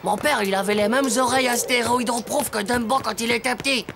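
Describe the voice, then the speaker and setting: A woman voicing a cheeky, dramatic schoolboy who grumbles in slang, in an animated series. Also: a little high, a little fast, gravelly, music in the background. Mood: bothered. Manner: slangy, exaggerated complaining. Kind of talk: French animated series dialogue.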